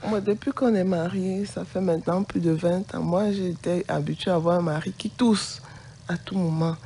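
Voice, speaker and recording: Gravelly woman preaching with passion. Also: on the low side, steady tone, moderate pace, dark tone, slight background noise. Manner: impassioned, indignant preaching tone. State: has the flu. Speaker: co-host, pastor's wife.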